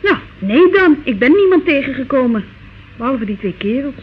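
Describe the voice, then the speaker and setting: A cheeky young delivery lad in a radio play. The voice is extremely high, flustered and defensively offhand. The old recording is thin, with faint noise. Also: male voice, big pitch swings, normal speed, narrow, tinny audio. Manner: hesitant, then defensively offhand. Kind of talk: old radio drama acting.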